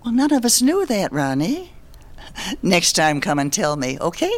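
An actress playing a kindly, concerned social worker in a dramatised scene, questioning gently and patiently. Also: female voice, on the low side, wildly swooping pitch, moderate pace. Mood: concerned, encouraging.

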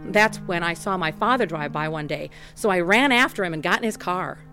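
A woman's voice: fairly low pitch, lively intonation, a little fast, music in the background. An actress narrating as a tough, candid woman recounting a troubled childhood in a scripted radio drama. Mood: reflective.

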